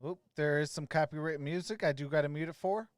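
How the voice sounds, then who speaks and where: A man's voice: a little high, quick pace, dry recording, clean studio sound. A man streaming casual play-by-play of a basketball game.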